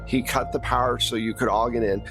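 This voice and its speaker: A man's voice: medium pitch, fairly even intonation, brisk, vocal fry, over music. A man running a tabletop game as game master, recapping and narrating in an informal, explanatory way.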